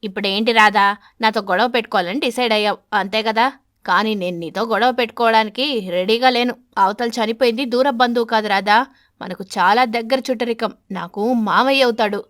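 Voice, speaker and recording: A woman voicing an irritable, argumentative husband pigeon in an animated story, grumbling with exasperation. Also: a little high, fairly quick, dry recording, studio quality, lightly acted. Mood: exasperated.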